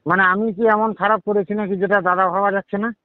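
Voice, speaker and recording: Over a narrow telephone line comes a man. His voice is high for a man, and he talks quickly and informally, questioning and protesting. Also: little pitch movement, smooth, no room sound. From West Bengal, India. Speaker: prank call victim. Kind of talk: casual phone conversation. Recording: tinny narrow phone line.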